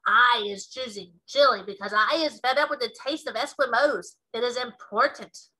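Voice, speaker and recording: A woman's voice: on the high side, moderate pace, bright, forceful tone, poor audio. A woman voicing a greedy, brutish male man-eating giant with a menacing, contemptuous manner in a storybook read-aloud. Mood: gloating.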